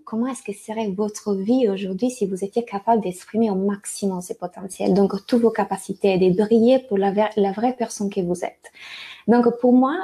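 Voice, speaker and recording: Italian woman coach, mellow, hesitant delivery on a webinar call. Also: mid-range voice. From Italy. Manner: hesitant, many fillers and restarts. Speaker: life and business coach. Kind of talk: webinar presentation.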